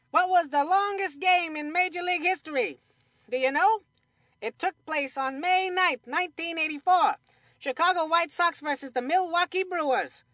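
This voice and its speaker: Bright, high-pitched folksy baseball commentator kid. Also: female voice, dry recording, clean studio sound. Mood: excited quizmaster. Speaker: sports commentator. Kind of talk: scripted video game baseball commentary.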